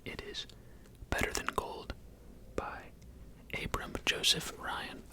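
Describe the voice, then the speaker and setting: Male ASMR host, fully whispered delivery, gentle and unhurried. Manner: soft, unhurried whisper with casual phrasing. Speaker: host of an ASMR video channel.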